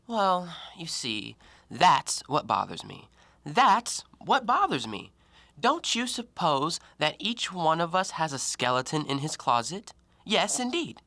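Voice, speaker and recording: An educated, self-justifying man , played by an actor in an audio drama, speaks in formal, reasoned sentences. The voice is bright and crisp with animated swings of pitch, recorded close and dry. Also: slow, no room sound, exaggerated voice. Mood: musing, troubled. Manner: articulate, rationalizing, formal stage diction. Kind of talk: stage-play acting in an audio drama.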